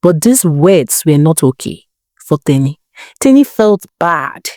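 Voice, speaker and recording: A woman narrating a moral folktale as a storyteller, in an expressive, emphatic manner with rhetorical questions. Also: low voice, unhurried, no room sound, studio quality. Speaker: storyteller, channel host.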